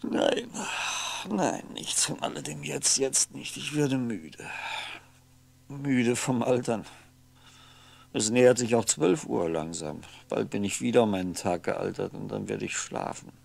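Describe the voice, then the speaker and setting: A man's voice: medium pitch, creaky, a little mellow. An actor playing a lonely, weary ageing man musing wryly to himself in a 1960s German radio play. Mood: tired, reflective. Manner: brooding, halting, musing to himself. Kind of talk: 1960s German radio drama monologue, introspective.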